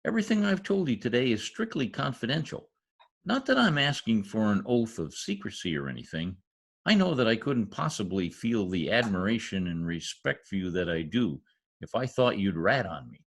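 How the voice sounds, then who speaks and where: A man's voice: fairly low pitch, very animated, moderate pace, breathy edge, heavily acted. A man playing an eager, mischievous teenage schoolboy in an old-time radio comedy, with an earnest, gushing manner and flowery words. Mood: confiding, earnest.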